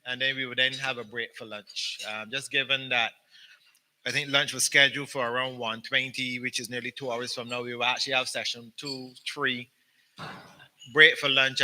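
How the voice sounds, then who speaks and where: A man's voice: mid-range voice, bright, forceful tone, rough recording. A man moderating a business forum panel, introducing speakers with many ums and repeated words. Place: conference hall.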